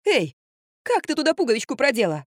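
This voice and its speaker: A woman's voice: high voice, wildly swooping pitch, a little fast, no room sound, studio quality, voice pushed up, heavily acted. A woman voicing a bossy, spiteful young actress in an audiobook, snapping and whining. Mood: gloating, bossy.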